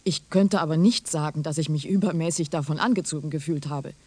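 An actor plays a polite sceptic in a radio drama, questioning a learned professor. The delivery is formal, courteous and bemused, at a middling pitch. The sound is very dry. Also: a little fast, darkish tone, slightly rough, no room sound, lightly acted. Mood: sceptical, polite. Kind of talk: German radio drama acting.

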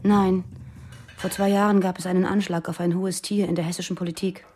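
Actress as uneasy young militant woman, mellow voice. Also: lowish voice, flat delivery, brisk, slight background noise, lightly acted. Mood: earnest, confiding. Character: uneasy young female militant confiding secrets, wanting out. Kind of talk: German radio drama dialogue.

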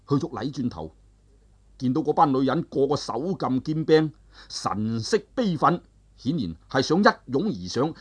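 A male storyteller narrating a traditional tale with animated, drawn-out phrasing and sentence-final particles. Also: mid-range voice, breathy edge.

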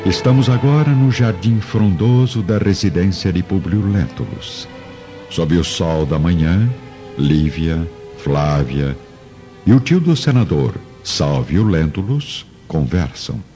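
A male narrator with a Brazilian accent delivers scripted radio drama narration. His voice is deep and dark, on a slightly dull recording with music underneath. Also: expressive, takes it slowly.

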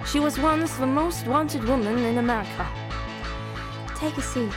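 A woman's voice: sits high, measured pace. An actress playing an intrigued woman interviewing a guest on a talk show in a radio play. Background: loud music.

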